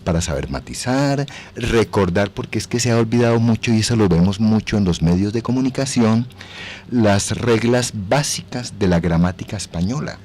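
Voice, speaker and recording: A man's voice: lowish voice, expressive, normal speed, a little mellow, a little hiss or hum. A Colombian man explaining things as a radio guest in a formal, courteous, teacherly way. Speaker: actor, voice trainer, guest. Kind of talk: explanatory interview talk. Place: radio station booth.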